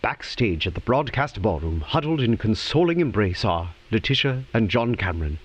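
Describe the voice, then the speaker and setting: A man's voice: fairly low pitch, very animated, normal speed, husky. A man playing a theatrical narrator in an audio drama, telling the story with suspense. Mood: suspenseful.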